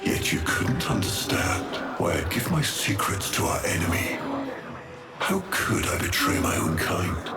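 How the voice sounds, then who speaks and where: An actor playing a cruel, pompous aristocratic villain in a video game, delivering breathy, deliberate theatrical monologues. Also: male voice, low voice, full character voice. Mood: menacing, grandiose. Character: cruel, grandiose male aristocratic villain, coldly taunting.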